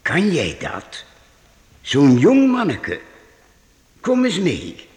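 A benevolent figure of authority in a radio drama. He talks slowly and deliberately, with extremely expressive swoops, in a reverberant hall. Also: a little high, full character voice. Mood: kindly, curious. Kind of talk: Dutch radio play acting. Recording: long church-like reverberant hall.

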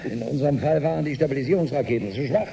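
An actor playing a harried, authoritative spaceship captain in a German radio drama. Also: male voice, mid-range voice, racing, mellow, close to an everyday voice. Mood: weary. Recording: clearly noisy ship ambience.

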